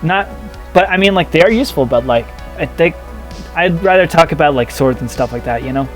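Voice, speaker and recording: A man's voice: on the high side, expressive, fairly quick, soft background music. A young man hosting a casual podcast, hesitant and filler-heavy, steering the talk with jokes. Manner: hesitant, full of fillers, jokey. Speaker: podcast host.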